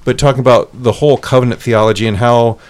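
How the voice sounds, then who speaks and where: A man's voice: medium pitch, fairly even intonation, quick pace, darkish tone. A man from central Iowa, a priest guest on a studio talk show, explaining in an earnest way with frequent restarts. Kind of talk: studio podcast conversation. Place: radio studio.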